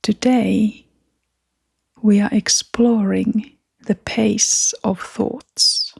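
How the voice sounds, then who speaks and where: A woman leading a scripted guided meditation in a soft, breathy, near-whispering voice, gentle and soothing, with long pauses. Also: medium pitch, fairly even intonation, no room sound, clean studio sound.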